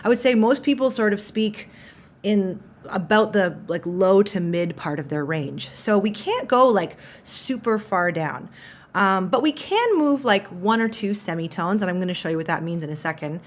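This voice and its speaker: A woman voice teacher walks through a lesson in a clear, friendly manner. Her pitch is mid-range for a woman, and she explains each step patiently, as if teaching online. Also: animated, moderate pace. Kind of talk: instructional explainer.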